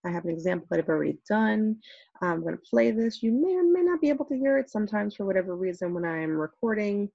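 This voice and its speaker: A woman's voice: medium pitch, sing-song, quick pace, creaky, warm tone, slightly rough, no room sound, dull audio. A woman teacher talking casually through a screen-recorded tutorial, step by step, with many okays.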